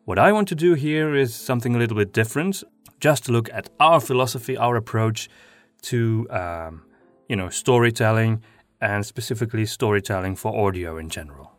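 A man whose first language is Flemish, hosting a podcast and talking about his writing with many pauses and ums. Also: on the low side, dry recording, soft background music. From Flanders. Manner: hesitant, many ums and restarts. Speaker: podcast host and audio drama writer. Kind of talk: casual monologue, then reading his own article.

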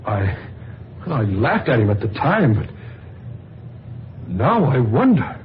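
A grave, caring doctor in an old radio play, expressive pitch, dull sound. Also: male voice, takes it slowly. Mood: uneasy. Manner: formal, gentle, concerned. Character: solicitous gentleman doctor, grave family friend. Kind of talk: vintage radio drama acting. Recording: muffled, noisy old radio recording.

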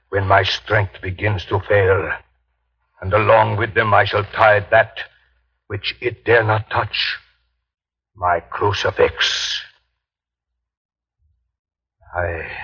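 An actor playing a weary, haunted, devout ship's captain, solemnly reading his log in a halting 1930s radio horror style. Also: sits low, breathy edge, heavily acted. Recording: muffled old 1930s radio broadcast.

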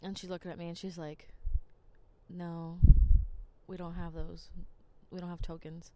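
A woman's voice: fairly low pitch, level tone, unhurried, mellow, a little hiss or hum, poor audio. A woman from New Jersey, a podcast host telling rambling stories in casual chat. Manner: chatty, rambling, lots of "like". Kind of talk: casual storytelling monologue.

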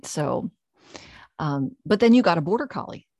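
Brief: female podcast host, lowish voice, chatty and friendly, dry close sound. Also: sing-song, moderate pace, a little mellow, no room sound. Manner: friendly, chatty interviewer who laughs often. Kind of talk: casual interview.

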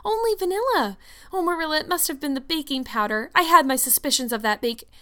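A woman voicing an excitable, easily mortified young orphan schoolgirl in a melodramatic, wordy storybook style. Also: high-pitched, a little fast, pitched above the performer's own voice. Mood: anguished. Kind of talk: storybook character voice.

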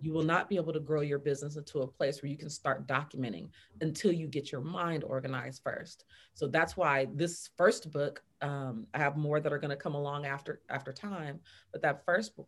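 A middle-aged woman with a low, deep voice, a guest on a chat podcast who walks through steps in an upbeat, instructive way. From Fresno, California. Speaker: podcast guest, business author. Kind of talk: casual interview chat.

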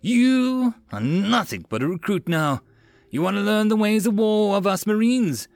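A man's voice: a little high, expressive, unhurried, no room sound, voice pushed up. A man voicing a bullying, sarcastic military drill instructor in an audiobook, barking and sneering theatrical insults. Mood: furious.

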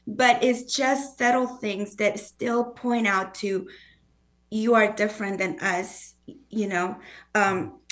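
A woman's voice: mid-range voice, steady tone, takes it slowly, fairly bright tone. Earnest woman with a foreign accent. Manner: earnest, acts out quoted voices. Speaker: guest author. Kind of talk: conversational interview.

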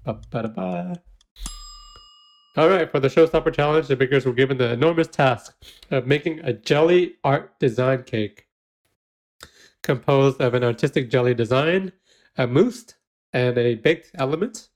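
A man's voice: medium pitch, moderate pace, long pauses, darkish tone. A man co-hosting a podcast, recapping a baking show in casual chat, giggling often and using lots of fillers. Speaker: podcast co-host.

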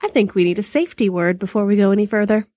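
A young goddess wife played by a woman in a storybook tale, loving and playful. Her delivery is sweet and coy, swinging freely in pitch, with a very dry sound. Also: animated, measured pace, no room sound.

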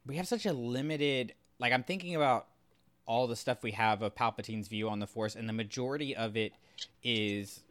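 Male co-host, crisp forward tone, close dry sound, casual analysis, halting and thoughtful. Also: medium pitch, fairly bright tone, no echo. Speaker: podcast co-host.

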